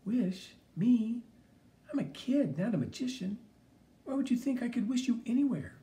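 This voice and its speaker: A man reading an audiobook, voicing an eager, doubtful young boy in a higher, questioning voice. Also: big pitch swings, moderate pace, warm tone, far from the mic, heavily acted. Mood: boyish.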